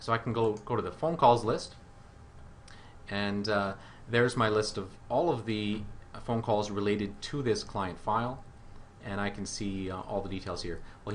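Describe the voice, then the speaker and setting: A man with a fairly low voice narrates a software tutorial. He explains things step by step in a conversational way, pausing hesitantly now and then. There is some faint noise in the recording. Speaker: software demo presenter.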